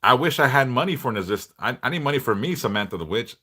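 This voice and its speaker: Big-voiced blunt man, bright tone. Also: expressive, fast, breathy edge. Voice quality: big voice with bass. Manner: blunt, passionate, swears freely. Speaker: livestream host, devotional teacher. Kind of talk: casual livestream chat.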